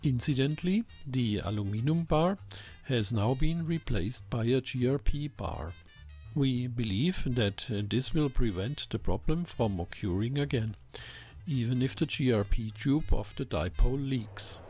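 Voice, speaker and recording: A man's voice: mid-range voice, lively intonation, measured pace, a little mellow, breathy edge, faint music behind. A man giving a methodical, scripted technical explanation as the host of an electronics channel.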